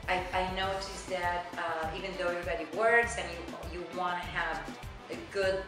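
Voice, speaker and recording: A woman's voice: on the low side, steady tone, moderate pace. A middle-aged woman from Argentina with an Argentine Spanish accent, a native Spanish speaker talking chattily in a casual oral history interview, often saying "you know". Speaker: immigrant interviewee. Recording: very echoey room with music behind.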